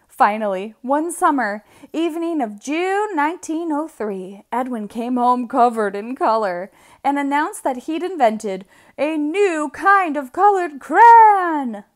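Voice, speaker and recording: A woman storyteller reads a children's picture book in a fairly high voice that moves up and down in lively swings. She takes her time, leaning on key words and drawing them out for emphasis. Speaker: read-aloud storyteller.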